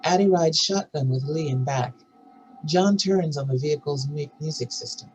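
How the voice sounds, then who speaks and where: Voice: medium pitch, normal speed, a little hiss or hum, poor audio. A narrator reading out stage directions and scene headings in a scripted table read.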